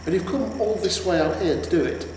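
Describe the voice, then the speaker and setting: A man's voice: high-pitched, a little fast, darkish tone, far from the mic. A man voicing a nagging, cautious talking horse in a fantasy storybook reading. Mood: sceptical. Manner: nagging, questioning. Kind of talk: acted character dialogue in a fantasy storybook reading. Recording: very echoey reverberant room, faint noise.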